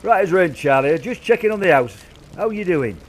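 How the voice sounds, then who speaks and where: A man's voice: high-pitched, lively intonation, fairly quick, a little hiss or hum. An actor playing a stoical, caring British father during an air raid in a radio play, matey and reassuring. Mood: brisk, urgent.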